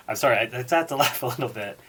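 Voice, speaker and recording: A man giving a book review in a measured, reflective way, pausing and stressing key words. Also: medium pitch, fairly bright tone, slight background noise. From born in Singapore, United States citizen. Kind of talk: book review talk with read-out quotations.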